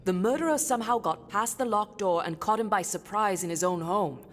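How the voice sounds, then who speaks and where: A woman's voice: medium pitch, fairly quick, in a large hall, lightly acted. A woman voicing a tough, no-nonsense hunter in a video game, blunt and dry. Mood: businesslike.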